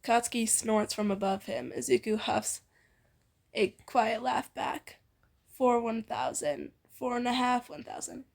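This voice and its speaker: High-voiced young woman reading aloud, giggly. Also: unhurried, vocal fry, darkish tone. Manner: giggly, playful. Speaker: amateur fan fiction reader. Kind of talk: informal read-aloud with a friend.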